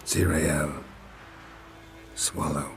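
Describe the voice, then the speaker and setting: A man playing a stoic, world-weary middle-aged monster hunter in a video game, terse and deadpan, with a gravelly growl. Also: very deep voice, extremely slow, slight background noise, heavily acted. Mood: dry, composed. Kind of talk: video game cutscene acting. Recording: game cutscene with music behind.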